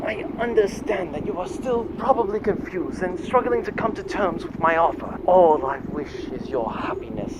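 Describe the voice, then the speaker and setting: A man's voice: high-pitched, expressive, moderate pace, hardly any pauses. A man plays a young nobleman in exile for an audio drama. He is pompous and lovesick, declaiming with grand sentiment over a noisy background. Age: young adult.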